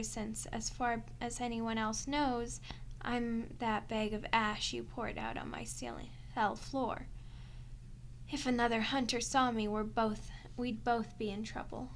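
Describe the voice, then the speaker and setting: A woman's voice: fairly high pitch, a little hiss or hum. A woman playing a sardonic, foul-mouthed vampire who grumbles and mocks in an ASMR audio roleplay. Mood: resigned, wry. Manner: sarcastic, grumbling, mocking.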